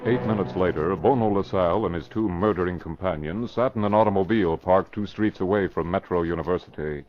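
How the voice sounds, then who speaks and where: An actor narrating an old radio mystery drama in a measured, solemn, dramatic way. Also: male voice, deep voice, lightly acted. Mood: grave. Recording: muffled vintage radio recording with music.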